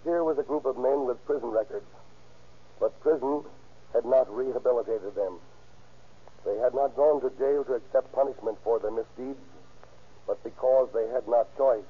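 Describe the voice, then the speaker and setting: A man's voice: mid-range voice, normal speed, breathy edge. A man giving a stern, formal scripted address in 1930s radio style, on a tinny old recording. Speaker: guest commentator giving a closing moral.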